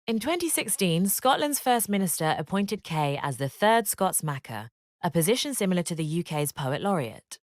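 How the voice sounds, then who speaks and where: A woman giving scripted educational narration in a measured, expressive read-aloud manner. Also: sits low, no room sound, clean studio sound.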